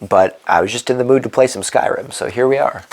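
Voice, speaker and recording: A man's voice: medium pitch, brisk, darkish tone. A congested man hosting a solo board game playthrough, thinking aloud as he works through the rules and dice rolls. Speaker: board game channel host.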